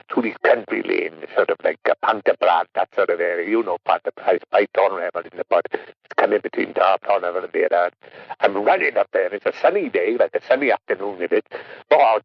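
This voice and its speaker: A man playing an anxious Welsh caller on a comic radio phone-in, husky and gravelly, rambling hesitantly and repeating himself, with a dull, dry sound. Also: low-pitched, dry recording, exaggerated voice.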